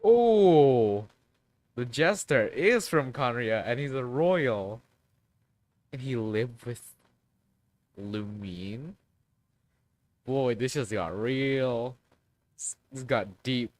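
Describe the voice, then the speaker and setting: A man's voice: medium pitch, lively intonation, drawn-out words, pauses often. Man muttering reactions to a game. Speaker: streamer.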